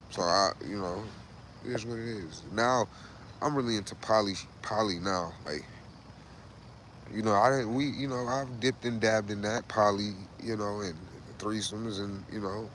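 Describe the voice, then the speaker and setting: A man's voice: lowish voice, a bit bright, a little hiss or hum, poor audio. A man in his late thirties hosting a casual livestream, talking loosely and profanely, repeating himself and saying "bro" a lot. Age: 30s. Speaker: livestream host.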